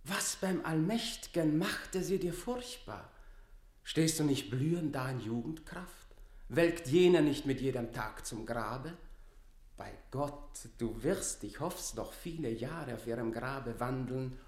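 An actor playing a scornful, persuasive lord at court, eloquent and theatrical, in a classical radio play. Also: male voice, mid-range voice, animated, slow pace, darkish tone, reverberant. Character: smooth-talking, scornful lord courting a queen's favour.

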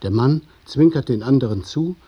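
A man narrating a radio play in the present tense, in a measured, literary storytelling manner. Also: medium pitch, expressive, mellow, breathy edge, dull audio. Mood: observing. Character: observing narrator of stage directions.

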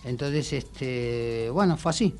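A man with an Argentine accent reminiscing casually as a radio guest, halting and repeating words as he goes. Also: medium pitch, faint music behind. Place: radio studio.

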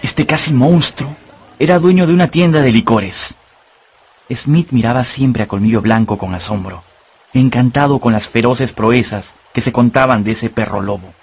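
A man's voice: mid-range voice, moderate pace, a little mellow, husky. A man giving literary storytelling narration for a radio adaptation of a novel. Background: music.

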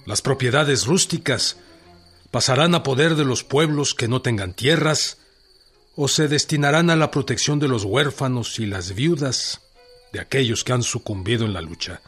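An actor playing a revolutionary general dictating a formal decree in a radio drama, slow and measured, with music underneath. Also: male voice, medium pitch, fairly bright tone, breathy edge. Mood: commanding.

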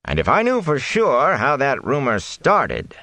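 A man's voice: medium pitch, huge pitch swings, a little slow, sharp tone, no room sound, pitched above the performer's own voice, exaggerated voice. A man voicing a shrewd, stout middle-aged innkeeper who is also the village mayor, hearty and wry.

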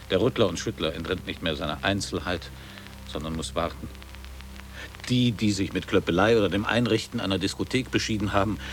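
A man plays a reflective older man looking back on his school days in a literary radio play. His voice sits low, and he speaks in measured, lyrical, formal phrases over music. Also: natural voice. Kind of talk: literary radio drama monologue.

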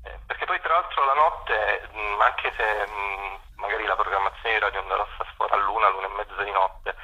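A man's voice: fairly low pitch, brisk, sharp tone, dull audio, a little hiss or hum. A man giving unscripted radio interview answers as a guest, often hesitating and searching for words.

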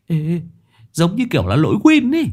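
A man voicing an excitable young male sidekick who talks in a high, loud, fretful way. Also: normal speed. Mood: worried.

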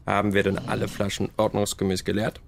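A man's voice: lowish voice, completely flat delivery, fast talker, creaky, slight background noise. An actor in a German radio drama playing a cheeky young man who talks in a playful, teasing way.

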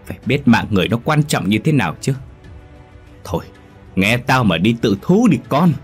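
A stern, unbending older father barks orders in a deep, husky voice, rising to shouts. A man voices him while narrating. It is a spoken storybook. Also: lively intonation, a little fast, faint music behind. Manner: scolding, shouting.

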